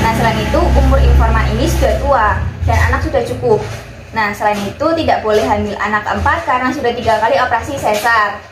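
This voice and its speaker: A young woman, a midwifery student giving a health education talk to a small group. She runs through her points briskly, linking them with little connecting words. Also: on the high side. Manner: brisk listing, strings points together with "nah" and "terus juga". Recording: echoey room with background noise.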